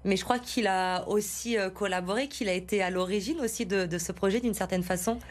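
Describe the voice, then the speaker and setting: A woman's voice: mid-range voice, fairly even intonation, fairly quick. A woman hosting a broadcast interview, putting questions to her guest and summing up their work. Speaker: television interviewer, host.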